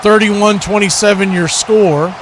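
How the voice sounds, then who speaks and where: Man, slightly high voice, football play-by-play commentator, conversational, live stream delivery, clear background noise. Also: fairly even intonation, measured pace. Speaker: play-by-play commentator hosting a watch party stream.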